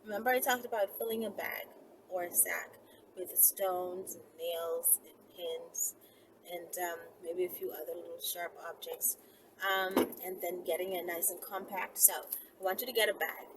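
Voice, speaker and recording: A woman's voice: lowish voice, fairly even intonation, normal speed. A woman talking casually to her viewers as a channel host, rambling as she gives step-by-step instructions. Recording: clearly noisy, with background ambience.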